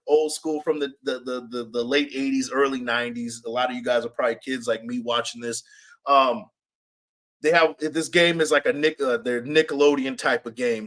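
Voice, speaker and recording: A man with a raspy voice hosting a sports fan podcast, chatting casually and repeating himself for emphasis. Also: mid-range voice. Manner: animated, repeats himself, reads chat comments aloud. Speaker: podcast host. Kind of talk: casual live-stream chat.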